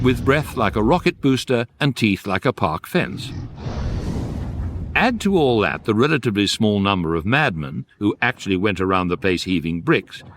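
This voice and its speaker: Wry, lowish guidebook narrator. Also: male voice. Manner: measured, wry, deadpan storytelling. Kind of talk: scripted narration in radio comedy.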